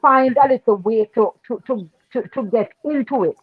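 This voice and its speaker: Woman, high voice, hesitant, kinda muffled lo-fi call sound. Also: normal speed, slightly rough, no echo. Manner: thoughtful, hesitant with repeated words. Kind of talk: casual group chat. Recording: dull lo-fi call audio.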